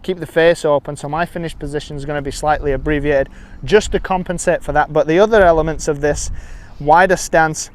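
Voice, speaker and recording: A man with a smooth, clear voice pitched a little high teaches golf out on the course. He explains each step of the technique in an instructional way, often pausing to restate his phrases. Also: steady tone.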